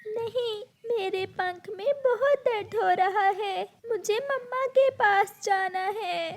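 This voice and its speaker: A woman's voice: very high voice, slow, pitched above the performer's own voice, full character voice. A woman voicing a plaintive, childlike little girl bird in a cartoon. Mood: crying, pained.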